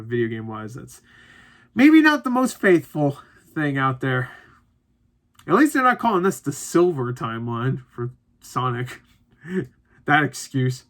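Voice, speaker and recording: A man's voice: fairly high pitch, fairly bright tone. A man of thirty giving a casual, unscripted movie review as host, rambling conversationally and swearing casually.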